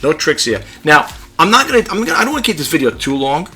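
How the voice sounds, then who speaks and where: A man vlogging a casual tech demo at his home desk, chatty and rambling, in a low-key, fairly monotone voice. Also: fast talker, faint music behind. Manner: chatty, rambling, demonstrating gadgets. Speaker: YouTube vlogger.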